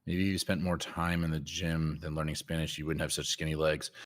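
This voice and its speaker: A man's voice: very deep voice, little pitch movement, brisk. A man co-hosting a live trading stream, chatting casually and trash-talking viewers with blunt teasing. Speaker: co-host trader.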